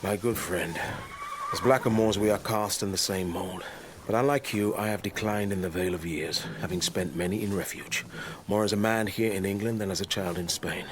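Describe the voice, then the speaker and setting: An actor playing a proud, devout older Moorish stableman in a period radio drama, solemn, measured and poetic. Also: sits low, lightly acted. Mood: calm, firm. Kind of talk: period radio drama acting, lyrical speech. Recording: clearly noisy stable ambience behind.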